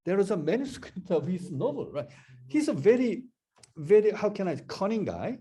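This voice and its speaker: A man answering questions in an academic discussion over a video call, halting and restarting his phrases often. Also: high-pitched, sing-song, mellow, husky. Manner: halting, repeats words, many restarts.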